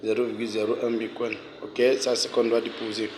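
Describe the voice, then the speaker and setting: Guy talking low n flat walking u thru a screen tutorial, lots of ok and euh, clears his throat a bunch, bit of hiss. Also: fast talker. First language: French. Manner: repeats steps, frequent "ok" and "euh", clears throat often. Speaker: channel host giving a tutorial. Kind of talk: casual screen-recorded walkthrough.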